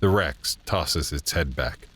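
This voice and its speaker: A man narrating a scripted audio drama in a measured, descriptive way. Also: rumbling low. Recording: background ambience and noise.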